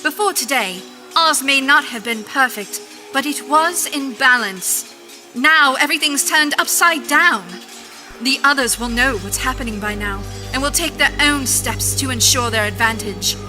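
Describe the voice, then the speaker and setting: High, piercingly bright stern witch. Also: moderate pace, soft background music. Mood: stern, worried. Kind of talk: audio drama acting.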